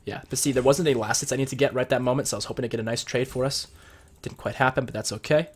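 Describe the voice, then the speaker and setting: A young man with a cold, sounding a bit husky and deeper, casually explaining strategy as he plays and comments on a video game at his computer. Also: steady tone, racing. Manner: chatty, explains strategy while playing. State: sick with a cold. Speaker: gameplay commentator. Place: home room at his computer.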